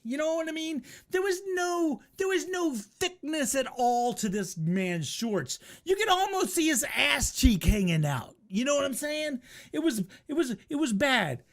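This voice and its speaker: A middle-aged American man with a notably high-pitched voice tells a casual story. He rambles through it with salty language, acting bits out in an animated, comic manner. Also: measured pace. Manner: profane, animated, acts out his remarks. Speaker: storyteller, host.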